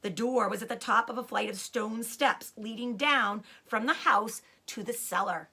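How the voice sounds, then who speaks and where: A woman reading a children's book aloud in an expressive, dramatic way, with short asides about the pictures. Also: fairly high pitch, moderate pace, husky. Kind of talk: animated storybook read-aloud with picture asides.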